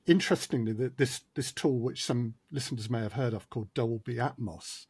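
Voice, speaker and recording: A man's voice: mid-range voice, fairly quick, a little mellow, slightly rough, no room sound. A man who is a guest on a podcast, explaining his sound design work in a modest, methodical way. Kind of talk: conversational podcast interview.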